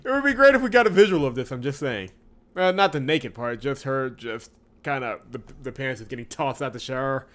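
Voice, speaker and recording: A man hosting a visual novel playthrough, chatting casually and reading the story aloud in an expressive way with wry asides. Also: mid-range voice, a little fast. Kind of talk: casual let's-play commentary with read-aloud narration.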